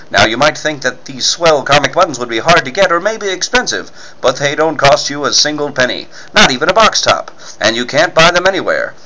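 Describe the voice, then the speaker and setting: Bright, emphatic male radio announcer. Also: on the high side, rough recording. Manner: emphatic, punchy salesmanship. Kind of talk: 1940s radio announcing, scripted. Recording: faint background noise.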